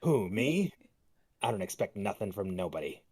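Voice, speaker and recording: A man's voice: lowish voice, very animated, normal speed, darkish tone, slightly rough. A man reading a character's lines in a casual comedic table read.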